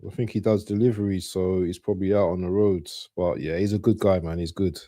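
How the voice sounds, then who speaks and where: A man co-hosting a football stream over a video call with his camera off, chatting casually, joking, and giving shout-outs. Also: low-pitched, steady tone, a little fast, dry recording, studio quality. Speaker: co-host on the stream.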